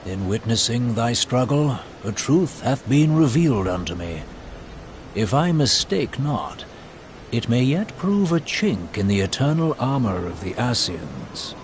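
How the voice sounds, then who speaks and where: An actor playing an earnest, courteous scholar in a fantasy video game, speaking formally in measured, archaic phrases. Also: male voice, mid-range voice, big pitch swings. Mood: grave, thoughtful. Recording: faint ambient noise.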